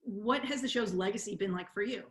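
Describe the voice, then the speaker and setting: A woman's voice: medium pitch, a little fast. Woman moderator in an echoey room. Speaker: moderator, interviewer. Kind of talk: moderated cast Q&A. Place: remote video call, echoey room. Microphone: video call. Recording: echoey video call audio.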